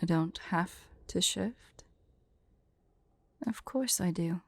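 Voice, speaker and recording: A woman voicing a proud, teasing tsundere dragon in human form for an ASMR roleplay, soft and haughty. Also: lowish voice, slow, creaky, husky, no echo, exaggerated voice. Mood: smug, teasing. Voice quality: soft, mellow.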